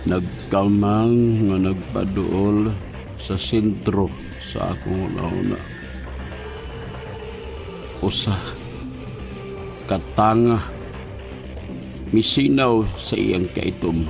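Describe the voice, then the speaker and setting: A man acting an entranced man in a horror audio drama, speaking in a low, gravelly, halting, drowsy murmur. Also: exaggerated voice. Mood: dazed, entranced. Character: entranced man, Dominic, slowly describing creeping visions. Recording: music bed under the voice.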